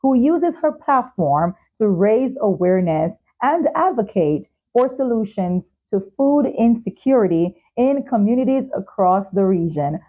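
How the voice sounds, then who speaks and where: High-voiced, mellow woman talk show host. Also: big pitch swings, unhurried, few pauses. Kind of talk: scripted show introduction and sponsor reads, interviewing.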